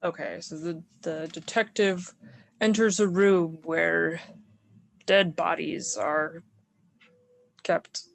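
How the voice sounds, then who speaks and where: A woman's voice: fairly low pitch, fairly even intonation, slow, gravelly, long pauses. A woman casually improvising descriptions of comic panels, amused and hesitant as she searches for words.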